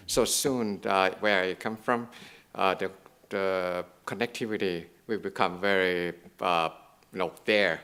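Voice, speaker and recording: A man's voice: low voice, a bit bright. A man from Thailand answering on a conference panel, hesitant and full of fillers.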